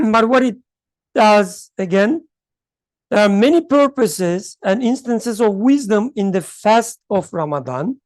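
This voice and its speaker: A teacher leading a study class, explaining a text in an unhurried way, thinking aloud and checking in with the class as they go. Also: medium pitch, darkish tone, dry recording. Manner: clears throat often.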